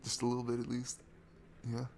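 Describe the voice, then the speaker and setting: Gravelly, shy, stammering young-hearted guy acted by a man. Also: mid-range voice, completely flat delivery, fairly quick, darkish tone, a little hiss or hum, lightly acted. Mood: embarrassed, apologetic. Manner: stammering, self-deprecating, apologetic, rambling. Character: shy, nervous, sweet awkward guy at a bar. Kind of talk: intimate ASMR roleplay monologue. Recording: music behind the voice. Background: music.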